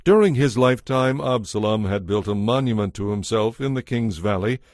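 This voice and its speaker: A man narrating a dramatized audiobook in a measured, solemn storytelling manner. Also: on the low side, darkish tone, dry recording, clean studio sound. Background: faint music.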